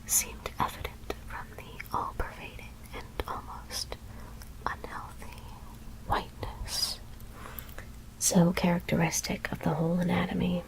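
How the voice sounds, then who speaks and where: A woman whispers a horror story in a hushed, deliberate ASMR reading. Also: lowish voice, vocal fry, rough recording. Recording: faint background noise.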